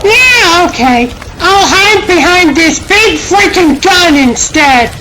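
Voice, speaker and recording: Feisty young antiheroine, very animated, slow sassy delivery, noisy recording, comic-dub drama. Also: female voice, very high-pitched, heavily acted. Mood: sassy defiance. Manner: sassy, exasperated. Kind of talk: fan comic dub acting. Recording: clear noise behind voice.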